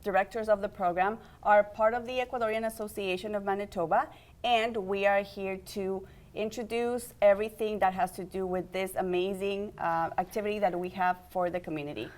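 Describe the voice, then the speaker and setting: A woman's voice: mid-range voice, fairly even intonation, brisk. A young adult woman hosting a community TV interview, friendly and upbeat, guiding her guests with questions. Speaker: host and program organizer.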